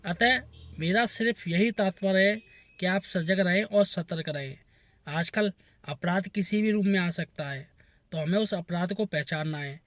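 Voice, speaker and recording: A man's voice: high voice, a little slow, fairly bright tone, dry recording. A man hosting a channel, earnestly addressing viewers with advice in a moralizing outro style. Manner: earnest, advising. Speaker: channel host.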